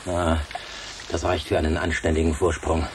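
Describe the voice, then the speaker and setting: Deep-voiced, bold escape leader. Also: male voice, little pitch movement, moderate pace, far from the mic, background noise. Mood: cool, alert. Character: bold male escape leader and spaceship pilot, cool, decisive. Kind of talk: German radio play acting.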